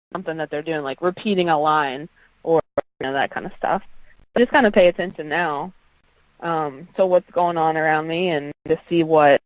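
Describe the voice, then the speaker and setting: A woman's voice: sits low, dry recording, rough recording. A woman singer-songwriter with an alto voice, chatting in an interview with frequent ums and restarts. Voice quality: strong alto voice. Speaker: country singer-songwriter guest. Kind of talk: casual interview chat.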